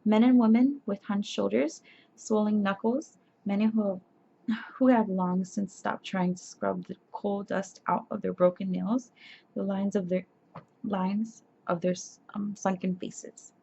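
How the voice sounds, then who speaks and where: Young woman, sleepy soft-spoken ASMR reader, stumbles and self-corrects; dull webcam sound. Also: mid-range voice, steady tone, a little slow. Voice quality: soft, breathy. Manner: stumbles and corrects herself while reading. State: tired, sleepy. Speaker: ASMR creator. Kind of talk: soft-spoken ASMR chat and reading aloud. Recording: dull lo-fi webcam audio with faint hiss.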